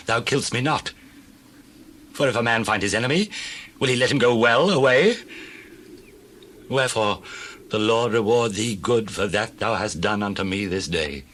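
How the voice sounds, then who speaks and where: A man's voice: on the low side, fairly bright tone, slight background noise, close to an everyday voice. An actor playing a remorseful, solemn older king in a dramatized scripture reading, grave and declamatory. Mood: tearful remorse.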